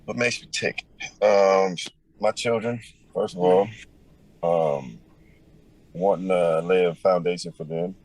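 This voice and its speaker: Man with a deep, powerful low voice; reflective interview guest, unhurried, searching for words. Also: gravelly, a little mellow, dry recording, low-quality recording. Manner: thoughtful, pauses with many ums. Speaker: musician guest. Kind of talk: casual interview answers.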